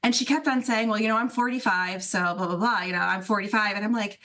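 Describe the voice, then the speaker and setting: Woman, forties, podcast co-host. Casual, animated storyteller, mid-range voice. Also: medium pitch, fast talker. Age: 40s. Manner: animated storyteller, many restarts. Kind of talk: casual wellness chat.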